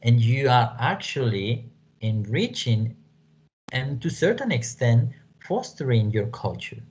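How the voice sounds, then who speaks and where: A man with a Mexican accent, a native Spanish speaker, explaining to an online class as a lecturer in a halting way that repeats words and phrases. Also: mid-range voice, very animated, a little mellow, slightly rough. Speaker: English teaching lecturer. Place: small room at home, indoors. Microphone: computer microphone on video call. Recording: dull lo-fi video call audio.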